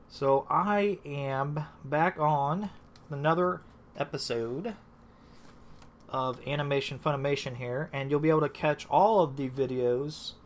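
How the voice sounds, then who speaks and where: A man's voice: a little high, a bit bright. A man hosting a casual live stream, rambling and restarting with lots of fillers, just back from being sick. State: recovering from illness. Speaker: host.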